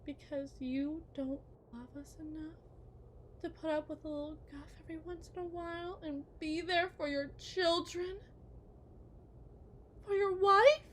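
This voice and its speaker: A woman voicing a possessive, unhinged kidnapper wife in an ASMR roleplay monologue, theatrical and taunting, swinging between hushed and shrill. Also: fairly even intonation, measured pace, slight background noise. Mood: wounded, accusing.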